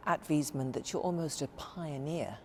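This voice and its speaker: Low-voiced woman TV reporter. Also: mellow, slight background noise. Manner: measured, polished delivery. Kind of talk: broadcast narration and interviewing. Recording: narration over background music.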